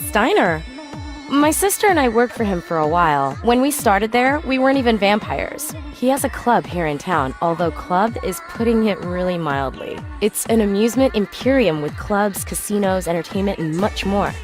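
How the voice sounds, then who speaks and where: A woman voicing a breezy vampire informant from a video game. She is chatty, her pitch moves in lively swings, and music is clearly heard behind her. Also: normal speed, close to an everyday voice. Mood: informative. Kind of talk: video game cutscene dialogue.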